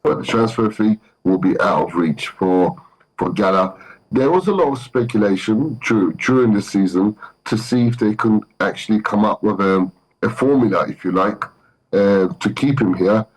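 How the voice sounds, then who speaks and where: A man from Turkey with a London accent speaks as a guest in a remote interview. His voice sits fairly low, and he gropes for words with frequent fillers and restarts. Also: expressive, normal speed, poor audio. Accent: London English. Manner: hesitant, many fillers and restarts. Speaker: football manager, guest.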